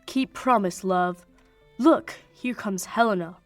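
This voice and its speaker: A woman's voice: mid-range voice, slow, dry recording, soft background music, lightly acted. A young woman playing an ardent young nobleman in love in a radio play of Shakespeare, speaking poetic verse. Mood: eager, conspiring. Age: young adult. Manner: declamatory, poetic verse delivery.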